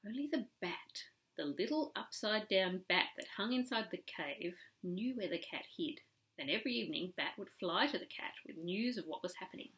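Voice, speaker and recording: A woman reading a children's story aloud as a storyteller, in an animated, emphatic manner. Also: mid-range voice, moderate pace. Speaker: storyteller reading to a young relative.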